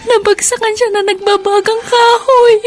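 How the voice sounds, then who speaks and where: A distraught young Filipino daughter in a radio play. Her tearful voice is extremely high, over faint music. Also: flat delivery, moderate pace. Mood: tearful, grieving. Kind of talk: Filipino radio drama acting.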